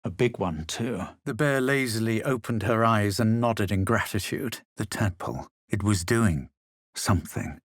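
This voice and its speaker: A man voicing a smug, self-assured adventurer hero in a fantasy video game, with a husky voice and a measured, dramatic delivery. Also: lowish voice, dry recording, clean studio sound. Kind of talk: video game voice lines, narration and spell calls.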